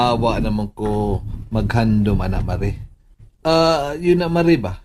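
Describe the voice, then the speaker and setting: A man's voice: fairly low pitch, takes it slowly, darkish tone. Man acting hesitant middle-aged father, noisy track. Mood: sheepish. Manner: hesitant, full of ums and chuckles. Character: hesitant widowed father, courteous and probing. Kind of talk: Filipino radio drama acting.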